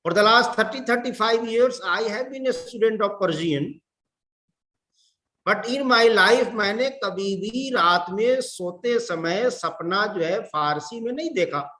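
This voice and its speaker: Indian english accent guy, professor lecturing slow and careful, repeats himself, roomy echo. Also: high-pitched, sharp tone. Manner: deliberate, repeats words, mixes in Hindi. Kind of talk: lecture to fellow educators.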